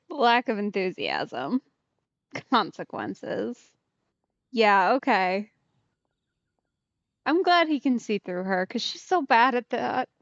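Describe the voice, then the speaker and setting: A woman's voice: fairly high pitch, wildly swooping pitch, takes it slowly, long pauses, no room sound, lo-fi sound. A woman game streamer giving casual, playful let's play commentary, reacting and thinking aloud. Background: faint game music.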